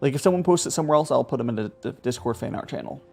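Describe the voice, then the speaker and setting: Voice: medium pitch, animated, rapid-fire, creaky, darkish tone. Casual livestreamer chatting over game music. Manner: echoes and mimics game dialogue playfully. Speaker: live streamer. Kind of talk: casual gameplay livestream chat. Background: video game music and dialogue.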